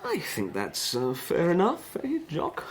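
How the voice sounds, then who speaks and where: An actor playing a diffident, educated young British army officer with an English accent and a halting, stammering manner. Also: male voice, a little high, wildly swooping pitch, noisy background. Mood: wry, awkward. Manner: hesitant, stammering, apologetic. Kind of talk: radio drama acting.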